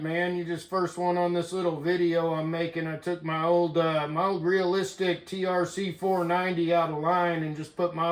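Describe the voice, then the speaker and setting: An American man chatting casually and in a folksy way as he hosts a hobby radio video. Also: on the high side. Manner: folksy, says "man" often. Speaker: CB radio operator and video host. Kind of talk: casual hobby vlog chat. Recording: roomy echo.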